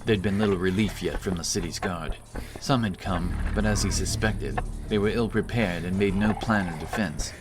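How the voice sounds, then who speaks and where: A man's voice: sits low, moderate pace, hardly any pauses, background noise. A man narrating a fantasy battle story in a dramatic, scripted storytelling style. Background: cinematic action music.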